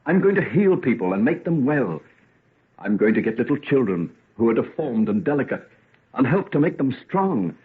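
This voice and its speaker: An actor playing an ardent, pleading young medical student in an earnest, impassioned old-time radio drama style. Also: male voice, mid-range voice, very animated, moderate pace, breathy edge. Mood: fervent, hopeful. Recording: muffled, tinny vintage radio recording.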